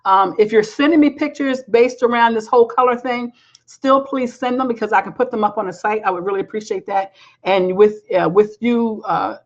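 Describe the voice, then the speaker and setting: A woman hosting a call-in show, chatting in a folksy way with listeners and growing hoarse and tired. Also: mid-range voice, lo-fi sound. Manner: warm folksy chatter, calls listeners y'all. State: tired, losing her voice. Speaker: host. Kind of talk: live call-in broadcast chat.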